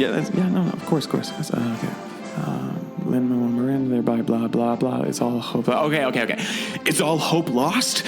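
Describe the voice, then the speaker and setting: A man's voice: medium pitch, natural voice. Man playing a self-absorbed serial announcer over music. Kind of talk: comedic cliffhanger announcer.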